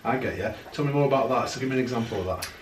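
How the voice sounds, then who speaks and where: A man's voice: mid-range voice, rapid-fire, far from the mic, slight background noise. Man asking questions in an echoey room. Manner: leading, encouraging questions. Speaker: interviewer, paranormal channel host. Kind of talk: casual interview. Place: living room, indoors, on a sofa. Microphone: far camera.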